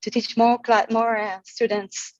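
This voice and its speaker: A woman in her forties from Israel, sharing her gratitude on a group video call, halting and full of fillers and restarts. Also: on the high side, flat delivery, no room sound, muffled recording. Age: 40s. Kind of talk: heartfelt casual sharing.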